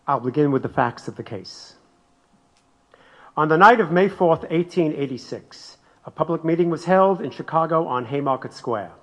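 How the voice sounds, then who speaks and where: An actor playing a solemn state governor giving a formal, declamatory speech in a radio drama. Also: male voice, a little high, lively intonation, normal speed, lightly acted. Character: solemn 19th-century male state governor making speech. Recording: dull recording in reverberant hall.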